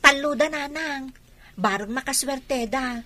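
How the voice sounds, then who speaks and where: An actress playing an ambitious, devoted young daughter in an Ilocano Filipino radio drama. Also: female voice, high-pitched, a little slow, sharp tone, full character voice. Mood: hopeful. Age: young adult.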